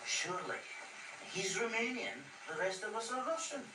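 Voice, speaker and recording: An actor playing a patient, sensible ship's cook in a radio drama, matter-of-fact and gently chiding. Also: male voice, high-pitched, animated, normal speed. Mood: chiding banter. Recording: roomy, distant, noisy radio drama playback.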